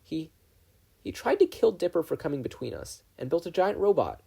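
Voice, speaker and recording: An anxious preteen girl, acted out by a man in a story reading. The voice is pitched well up, halting and breathless, with a soft husky edge and a tearful, frightened temper. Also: big pitch swings, heavily acted.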